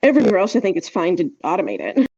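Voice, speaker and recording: A woman's voice: lowish voice, wildly swooping pitch, very fast, creaky, a little mellow, husky, no room sound, lo-fi sound. A woman chatting casually as a podcast co-host, wry, opinionated and emphatic in how she makes her points. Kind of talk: casual speculative podcast chat.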